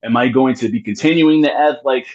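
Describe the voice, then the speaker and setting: A man's voice: mid-range voice, a little fast, highs cut off. A man talking casually in a podcast conversation about his music, rambling with many restarts and curse words. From United States. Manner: rambling, frequent restarts and swearing. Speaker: musician guest.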